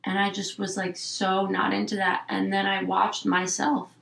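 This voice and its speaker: A woman's voice: mid-range voice, completely flat delivery, moderate pace, creaky, fairly bright tone, distant mic. A young woman in her twenties in a candid interview, thinking aloud in a rambling, reflective way, with lots of "like". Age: 20s. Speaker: guest. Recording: echoey room, a bit off-mic.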